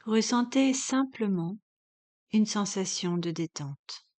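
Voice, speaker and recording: Slow-paced woman guiding meditation. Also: medium pitch. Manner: calm, measured, instructional delivery with long pauses. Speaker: yoga nidra instructor. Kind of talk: guided relaxation meditation.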